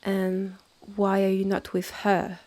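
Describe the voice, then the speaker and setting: A woman with a French accent playing a haughty, territorial witch's sister in a roleplay audio drama, talking in a measured, condescending way with breathy drops. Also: mid-range voice, monotone, darkish tone. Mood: intrigued, wry. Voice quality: husky, breathy. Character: haughty, territorial witch's sister running a secretive woodland hotel.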